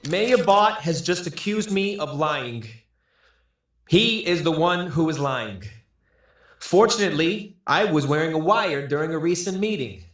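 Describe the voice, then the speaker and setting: An actor playing a smug political aide turned informer, speaking in a pointed, wry way in an audio drama. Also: male voice, a little high, animated, measured pace, fairly bright tone. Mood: accusing, smug. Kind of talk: audio drama acting, public statement. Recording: roomy, echoing recording.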